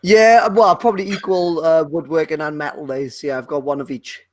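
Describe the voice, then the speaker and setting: A man's voice: a little high, fairly even intonation, normal speed, dry recording. A young adult man from South Wales with a Welsh accent, chatting as a podcast guest and rambling with lots of fillers and restarts. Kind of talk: casual chat.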